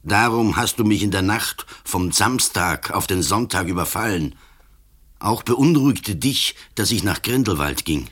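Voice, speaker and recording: An actor playing an old, ailing police commissioner in a radio play, grave, accusing and relentless. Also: male voice, fairly low pitch, animated, a little slow, fairly bright tone, husky, full character voice. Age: older.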